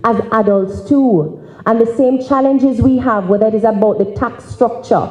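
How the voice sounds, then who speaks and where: A young Jamaican woman giving a public speech, phrasing it deliberately and emphatically. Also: a little high, darkish tone, highs cut off. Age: young adult. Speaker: youth organisation president, speaker. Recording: roomy, echoing conference hall.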